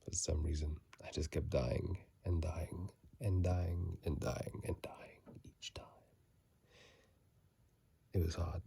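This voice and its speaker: A video host whispering softly in a breathy, hushed voice, reading aloud and adding casual commentary in an ASMR style, close to a phone mic. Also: low voice, little pitch movement, slow, pauses often. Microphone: phone mic held close.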